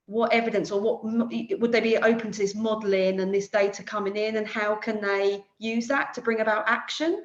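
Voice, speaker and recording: A woman on an academic panel, thinking aloud in a reflective way. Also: on the high side. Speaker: panel participant, researcher. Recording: echoey, dull-sounding room.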